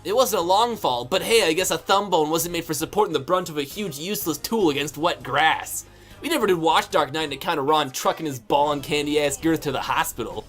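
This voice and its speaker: A man's voice: on the high side, fairly quick, fairly bright tone, faint music behind, natural voice. A man playing a dim, boastful bro game reviewer in a fan dub, rambling in exaggerated slang. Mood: bro swagger. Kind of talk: acted comic monologue in fan dub.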